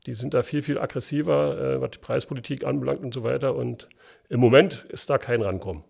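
A man's voice: mid-range voice, a little fast, gravelly, darkish tone, slightly rough. A man from East Berlin with a Berlin accent, a guest talking plainly about his work, with many restarts. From East Berlin, Germany. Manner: plainspoken, frequent restarts and fillers. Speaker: works council chair, guest. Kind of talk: casual interview talk.

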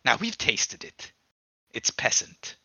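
Casting: man as a grovelling demon envoy for a tabletop game, hushed and menacing, haltingly obsequious, sharp-edged tone. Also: no room sound. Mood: sly, insinuating. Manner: obsequious, halting, self-abasing, whispery menace. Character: servile, creepy demon emissary in a grinning man's body.

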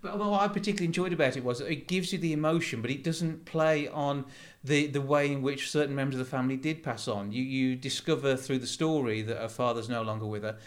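A man's voice: mid-range voice, fast, few pauses. A man hosting a show, interviewing guests in a chatty way and steering with questions. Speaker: radio show host.

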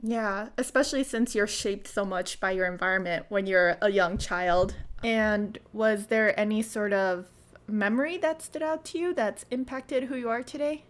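A woman's voice: medium pitch, moderate pace. Upbeat young woman hosting a podcast. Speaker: podcast host. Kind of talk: podcast interview.

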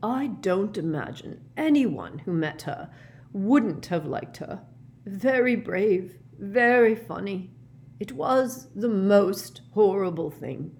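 A woman voicing a tearful, tipsy, frightened old male professor in a storybook, halting and genteel. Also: a little high, lively intonation, pitched above the performer's own voice.

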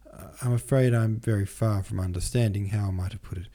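Young gentleman read by a male narrator, timid, courteous and hesitant, soft-edged mellow tone, audiobook style. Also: fairly low pitch, steady tone, a little fast. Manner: polite, hesitant.

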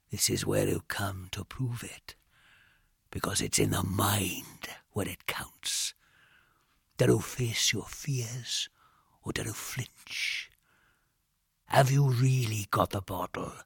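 A man's voice: medium pitch, creaky, breathy edge, no room sound, studio quality, full character voice. A man voicing a menacing British hard lad in an audiobook, taunting and lingering, often dropping to a sinister whisper. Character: menacing, taunting male hard lad leading frightened boys.

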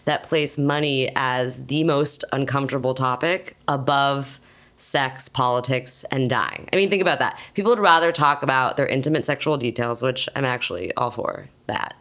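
A young adult woman guesting on a podcast, recorded at the host's home, who talks with a low voice in a thoughtful, candid way. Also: normal speed, fairly bright tone. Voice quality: low, husky-sounding voice. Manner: thoughtful and candid, with easy laughs. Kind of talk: casual chat.

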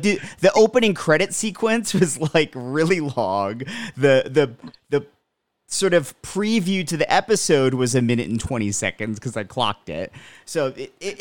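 Podcast cohost dude, mid voice, super expressive, explains stuff, dry close mic. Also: male voice, mid-range voice, dry recording. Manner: explanatory, analytical. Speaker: podcast co-host. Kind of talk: casual chat.

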